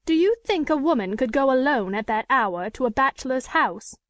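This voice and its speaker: A woman's voice: high voice, expressive, moderate pace, dry recording, studio quality, pitched above the performer's own voice. A woman voicing a proud, defiant woman in a storybook reading, speaking formally with indignant emphasis. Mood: desperate, impassioned. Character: proud, defiant woman with an unhappy secret past. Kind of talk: dramatic audiobook character reading.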